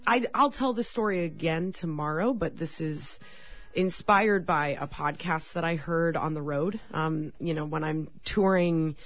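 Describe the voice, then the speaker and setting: A woman musician with a raspy voice, chatting casually in an interview, friendly and laughing easily, with many fillers. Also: low voice, measured pace, no echo. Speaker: touring musician guest.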